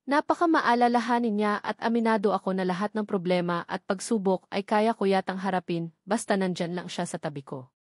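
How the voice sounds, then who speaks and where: A woman's voice: mid-range voice, brisk, no echo, clean studio sound. A woman with a Filipino accent reading a first-person romance story as a scripted audiobook narration.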